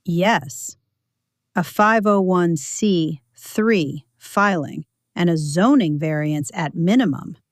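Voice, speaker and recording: A woman's voice: lowish voice, takes it slowly, dry recording, studio quality. A woman voicing a weary, careful male deputy with a formal, restrained manner in an audio story.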